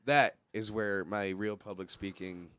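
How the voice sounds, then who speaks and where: Congested young man, crisp bright voice. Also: on the low side, steady tone, measured pace, no echo. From Michigan. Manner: joking, rambling, laughs often. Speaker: podcast guest, stand-up comic. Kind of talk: casual podcast chat.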